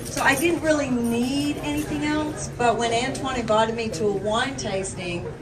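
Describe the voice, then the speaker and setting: A woman's voice: on the high side, normal speed, crowd noise. A woman with an Australian accent giving an enthusiastic, chatty testimony to an audience at an event. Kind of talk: upbeat sales testimony on stage. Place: event venue with a crowd. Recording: echoey hall, distant mic, loud background.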